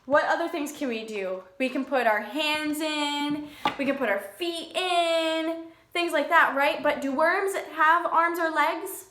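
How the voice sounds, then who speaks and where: High-voiced woman talking to small children, echoey. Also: measured pace, bright, forceful tone, slight background noise. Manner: simple, questioning, child-directed. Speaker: preschool teacher reading aloud. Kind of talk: children's read-aloud with questions to listeners. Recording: echoey room.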